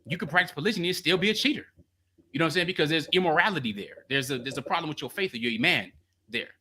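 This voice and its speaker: A man hosting a call-in advice show as a coach, talking in an animated, slangy storytelling way. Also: a little high, rapid-fire, slight background noise.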